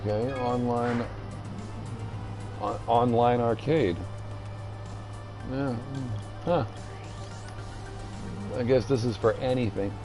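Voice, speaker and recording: A man streaming a video game with casual, rambling commentary, haltingly thinking aloud as he reads the menus. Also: medium pitch, fairly even intonation, music in the background.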